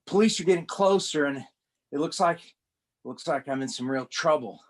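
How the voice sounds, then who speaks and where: A man's voice: on the high side, moderate pace, lightly acted. A man reading a comic aloud as a young male rookie cop, narrating his troubles in a plain conversational first-person way. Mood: wry.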